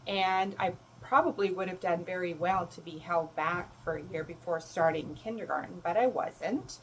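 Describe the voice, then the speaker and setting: A woman whose was German, speaking as a self-love coach in a gentle, reflective talk. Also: fairly low pitch, moderate pace, slight background noise, low-quality recording. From Canada. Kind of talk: reflective solo talk to camera.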